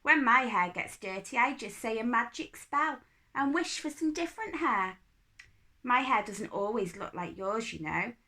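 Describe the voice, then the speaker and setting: A woman voicing an imperious, boastful little princess in a children's story, with a haughty, brisk, emphatic manner. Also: fairly high pitch, expressive, pushed and bright, heavily acted. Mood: airy, superior.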